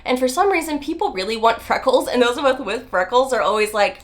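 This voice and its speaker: A woman's voice: a little high, expressive, slight background noise. A woman in her forties, nasal from a summer cold, chatting to camera as a beauty vlogger in an emphatic, chatty way. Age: 40s. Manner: chatty, emphatic, drawn-out stresses. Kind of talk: casual talk to camera.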